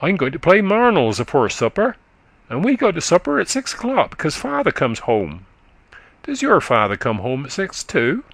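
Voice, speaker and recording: Piping little country boy, chattering, rustic dialect, lively sing-song pitch, dry close sound, voiced by a man. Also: moderate pace, no room sound, full character voice. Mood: eager. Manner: childlike, piping, dialect speech.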